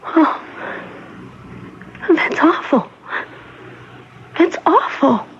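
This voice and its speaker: A woman's voice: sits high, drawn-out words, heavily acted. An actress playing a timid, innocent young woman, polite and tentative, in an old-time radio drama. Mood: shocked, sympathetic. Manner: soft-hearted, tentative, polite. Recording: muffled, noisy vintage radio broadcast.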